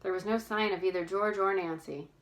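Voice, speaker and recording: A woman reading a storybook aloud as narrator, with expressive, careful, dramatic delivery. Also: mid-range voice, brisk, low-quality recording.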